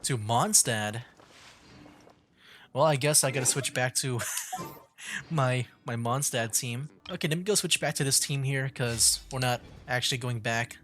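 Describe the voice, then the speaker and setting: A man's voice: mid-range voice, a little slow, a bit bright. Male streamer, casual game commentary, chatty, laughs a lot.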